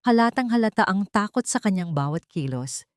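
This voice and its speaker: A woman narrating a scripted audio drama in a steady, dramatic storytelling manner. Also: mid-range voice, brisk, no echo, clean studio sound.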